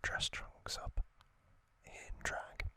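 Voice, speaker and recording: An ASMR host whispers very slowly in a breathy, unvoiced hush. The sound is bright and crisp at the edges, and the delivery is halting, with gentle pauses between short phrases as trivia is recapped.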